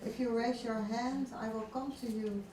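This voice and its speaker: A woman moderating a conference session and live scan, calm and measured as she asks probing questions. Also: a little high. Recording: distant mic in an echoey hall with noise.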